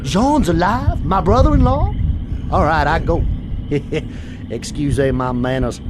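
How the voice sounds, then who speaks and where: Cajun lawman, game cutscene: slow drawl, cocky but sheepish, hesitant courtly manner, loud music behind. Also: on the high side, animated. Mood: relieved, polite. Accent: Louisiana Cajun. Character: cocky, sheepish Cajun sheriff's deputy with a gun. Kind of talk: video game cutscene acting.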